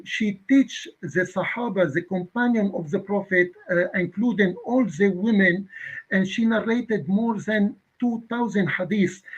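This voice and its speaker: A man in his fifties arguing a point in an online debate, speaking haltingly with many filler pauses and non-native phrasing. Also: high-pitched, breathy edge, dull audio. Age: 50s. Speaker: guest debater.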